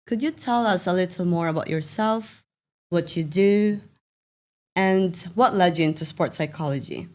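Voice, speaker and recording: A woman's voice: lowish voice, moderate pace. A woman hosting a podcast interview, putting prepared questions to her guest. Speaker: podcast host.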